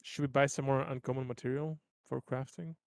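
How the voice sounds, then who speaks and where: A man with a creaky, gravelly voice of middling pitch talks casually through a tabletop game. The sound is very dry, with no room echo. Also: steady tone, a little fast. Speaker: player in a tabletop roleplaying game.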